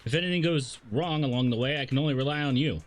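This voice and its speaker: A man's voice: mid-range voice, fast. A man livestreaming a game in a casual, irreverent way, slangy and sweary as he reads dialogue and reacts. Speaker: streamer. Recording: game music behind the voice.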